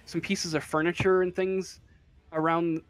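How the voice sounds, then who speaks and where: A man acting as game master, improvising narration for a tabletop role-playing game in a halting way, thinking aloud. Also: on the high side, level tone, no echo.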